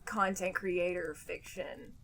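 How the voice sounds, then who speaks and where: A woman's voice: lowish voice, steady tone, slow, gravelly, a bit bright. A young adult woman co-hosting a casual podcast, chatting loosely with lots of filler words and frequent laughter. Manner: laughs often, many "like" fillers. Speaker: podcast co-host. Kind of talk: casual chat.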